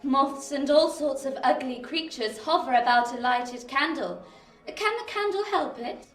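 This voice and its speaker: Radio play cold, proud young lady: high bright voice, formal and haughty, heard in an echoing room. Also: a little slow. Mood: haughty indifference. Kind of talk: theatrical radio play acting. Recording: echoing room, distant from mic.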